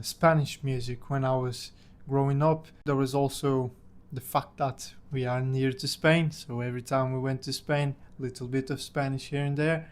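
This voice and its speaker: A young man from Portugal, a native Portuguese speaker, casually telling a personal story about himself in English. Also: medium pitch. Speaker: language-learning content creator.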